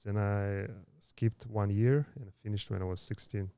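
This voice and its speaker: Low mellow-voiced man in his thirties. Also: fairly even intonation, smooth, no room sound. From Kazakhstan. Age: 30s. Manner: halting, many fillers and restarts. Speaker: podcast guest. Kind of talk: casual interview storytelling.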